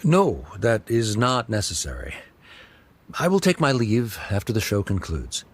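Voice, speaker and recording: A man's voice: lowish voice, huge pitch swings, unhurried, slight background noise. An actor playing a proud but caring middle-aged scholar father in a video game, speaking formally and a little stiffly. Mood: reflective, reserved. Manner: formal, stiff, hesitant, precise wording.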